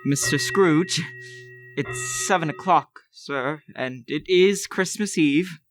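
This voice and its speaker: A man's voice: on the high side, slow pace, music in the background. A man playing a meek clerk in an old-time radio play, hesitant, stammering and deferential. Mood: timid, deferential. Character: timid, meek male clerk, deferential to his boss.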